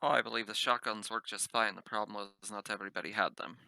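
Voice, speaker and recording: A man playing a cautious, dryly skeptical spaceship captain in a tabletop roleplay, speaking in halting, clipped phrases. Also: on the low side, fairly even intonation, creaky, sharp tone, dry recording, exaggerated voice, poor audio. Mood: firm, practical.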